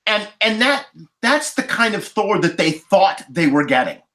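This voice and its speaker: A man in a casual roundtable discussion, laying out his points as a podcast panelist in deliberate, repeated phrases. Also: sits high, very animated, a bit bright. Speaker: podcast panelist reviewing films.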